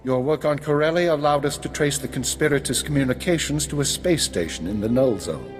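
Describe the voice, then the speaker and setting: An actor playing a grave, authoritative older intelligence minister, speaking in a measured, formal way in a video game. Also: male voice, medium pitch, soft background music, lightly acted. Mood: grave, commanding. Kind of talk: video game drama acting.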